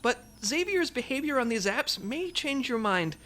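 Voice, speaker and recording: A woman's voice: fairly high pitch, very animated, normal speed, fairly bright tone. A woman playing a businesslike, patient intelligence section chief giving a briefing in a comedy audio drama, authoritative and diplomatic.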